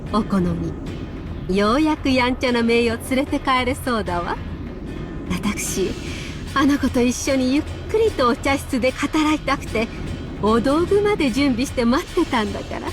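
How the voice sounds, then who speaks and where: An actress playing an arrogant, scornful aunt from an old wealthy family in a visual novel. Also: female voice, high-pitched, lively intonation, fast, music in the background. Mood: smugly gracious. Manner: arrogant, sneering, drawling.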